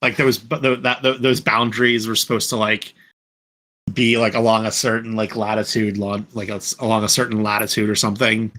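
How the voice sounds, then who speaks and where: A man with a fairly low voice and a bright, forward edge talks casually among fellow podcast panelists. He rambles, backs up to correct himself and peppers his sentences with "like". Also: flat delivery, a little fast. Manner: rambling, self-correcting, frequent "like". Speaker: podcast panelist and fan dub voice actor. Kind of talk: casual group chat.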